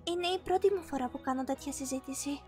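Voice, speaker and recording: A woman playing a gentle, sheltered young princess in an anime fan dub, speaking politely, formally and earnestly. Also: high-pitched, lightly acted. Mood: shy admiration. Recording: music behind the voice.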